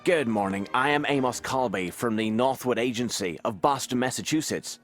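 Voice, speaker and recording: A man's voice: medium pitch, moderate pace, fairly bright tone, faint music behind, close to an everyday voice. An actor playing a self-assured, direct American agency man in a video game. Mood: businesslike.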